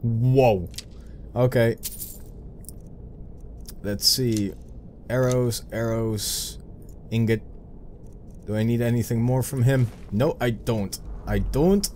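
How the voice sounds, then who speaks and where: A man's voice: mid-range voice, slow pace, pauses often, a little mellow, slight background noise. A young Dutch man with a Dutch accent, chatting casually to himself as he narrates his own gameplay, muttering and joking at his own expense. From Netherlands. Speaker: gameplay commentator. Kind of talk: casual let's play commentary.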